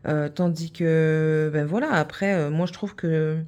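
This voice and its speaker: A woman's voice: low voice, steady tone, a little slow, a little mellow. A middle-aged woman from France, hosting a craft channel and chatting casually, rambling with many fillers and restarts.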